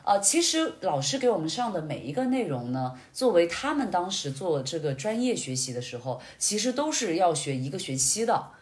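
A woman sharing experiences in casual conversation, explaining things in a reflective, expressive way. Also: on the low side, normal speed, hardly any pauses. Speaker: podcast guest, amateur acting student.

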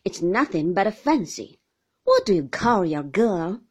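A woman voicing a brisk, stern older aunt who speaks in curt, commanding bursts, with a breathy voice. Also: medium pitch, sing-song, darkish tone. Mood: brusque.

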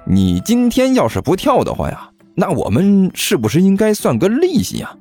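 Man acting a smug, teasing, animated chubby student. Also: sits high, normal speed, husky, soft background music, pitched above the performer's own voice, full character voice. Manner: drawling, mock-concerned, jeering. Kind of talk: audiobook character acting.

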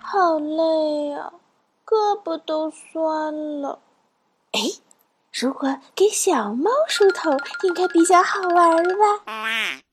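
A tiny, stubborn princess with a very high, cute voice. She speaks slowly and expressively, full of playful childlike drama for a children's radio play, over light music. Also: lively intonation. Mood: weary, sulky. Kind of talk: children's audio drama acting.

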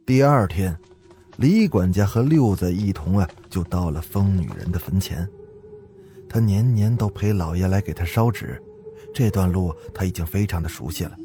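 A male storyteller delivers dramatic horror narration. His voice sits fairly low and moves expressively, holding suspense, with quiet music underneath. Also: lively intonation, moderate pace. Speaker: host and storyteller.

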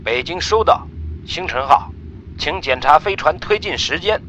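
A man's voice: on the high side, lively intonation, moderate pace, sharp tone. A man playing a formal, alert mission control officer making a radio call in a radio drama. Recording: faintly noisy radio transmission.

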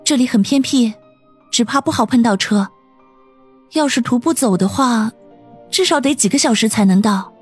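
A composed young woman, high and gentle, calmly talking others round, as a male narrator puts her on. Faint music sits under the voice. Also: normal speed, pauses often, breathy edge. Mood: worried.

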